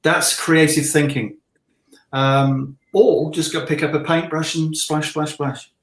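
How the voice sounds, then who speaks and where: A man's voice: fairly high pitch, steady tone, slow. A middle-aged man chatting casually as a guest, rambling and jokey, talking about his work as an illustrator. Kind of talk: casual chat. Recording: roomy, echoey sound.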